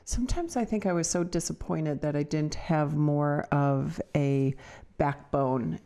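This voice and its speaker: A woman co-hosting a podcast in casual, unscripted chat, reflective and halting as she searches for words. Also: low voice, mellow. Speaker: podcast co-host.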